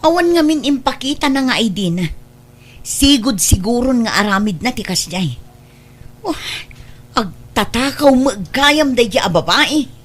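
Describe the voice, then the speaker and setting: A woman's voice: on the high side, expressive, a little slow, long pauses. A woman playing a caring, sensible grown daughter who runs the household, in a radio drama. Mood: indignant.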